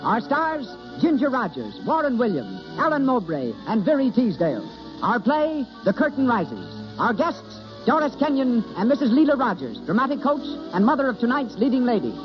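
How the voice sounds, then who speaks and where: Very high-pitched, animated radio announcer man. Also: normal speed. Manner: formal, proclamatory, showman-like. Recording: dull 1930s radio recording, music behind.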